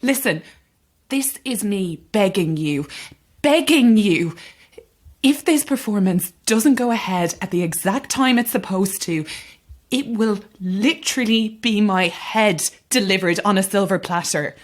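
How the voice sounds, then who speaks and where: Audio drama: anxious stately-home organiser, earnest, polite, pleading, measured slow pace. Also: female voice, mid-range voice, animated. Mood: desperate, pleading. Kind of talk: audio horror-comedy drama acting.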